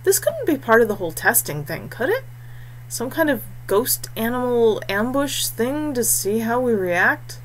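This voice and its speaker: A woman's voice: on the high side, big pitch swings, measured pace. Young male fighter played by female narrator: informal, questioning, exclamatory, audiobook fantasy dialogue, hum in recording. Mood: speculating. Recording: clearly noisy background hum.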